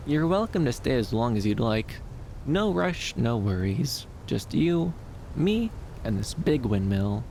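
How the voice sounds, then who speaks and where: Male actor as soft, dreamy young femboy for ASMR roleplay: shy, soothing, creaky mid-range voice, light rumble behind. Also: very animated, unhurried. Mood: calm, welcoming. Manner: soft-spoken, hesitant, soothing, with lilting upturns.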